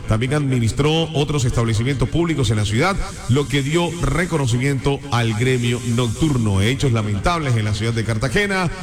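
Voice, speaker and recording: Roomy-sounding radio newsman. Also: medium pitch. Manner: lively banter, then steady emphatic news reading. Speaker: radio host and newsreader. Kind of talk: morning radio news and banter. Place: radio studio.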